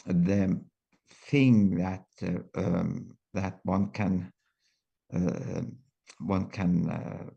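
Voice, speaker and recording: Slow gravelly older man, halting. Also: low-pitched, fairly even intonation, dark tone, highs cut off. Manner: halting, many fillers and restarts. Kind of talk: reflective interview answers.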